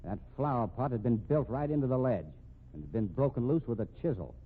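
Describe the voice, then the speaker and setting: Actor as a sarcastic, animated police captain. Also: male voice, medium pitch, brisk, lightly acted. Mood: sardonic. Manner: sardonic, slangy, needling. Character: gruff, sarcastic, exasperated male police captain. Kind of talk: 1950s radio drama acting. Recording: tinny, noisy old radio recording.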